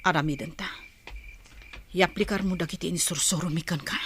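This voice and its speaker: A woman's voice: fairly low pitch, fairly even intonation, fairly quick, a bit bright, a little hiss or hum, lightly acted. A woman with a Filipino accent playing a resolute, protective elder-sister figure in a radio drama. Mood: urgent.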